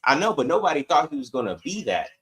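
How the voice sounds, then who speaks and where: A man's voice: on the high side, sing-song, fast talker, a bit bright. A man co-hosting a sports podcast, debating casually and arguing back in a conversational way with examples.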